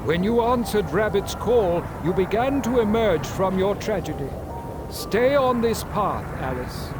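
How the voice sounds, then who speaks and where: A man voicing a cryptic feline guide in a video game, solemn, insistent and measured. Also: high-pitched, lively intonation, music in the background. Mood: solemn, encouraging. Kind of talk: video game character acting.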